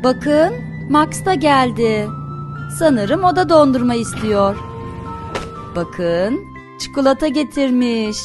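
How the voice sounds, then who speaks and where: A woman's voice: high voice, lively intonation, slow. A woman narrating a cartoon for toddlers in a sing-song, encouraging way, often asking questions. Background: light music behind the voice.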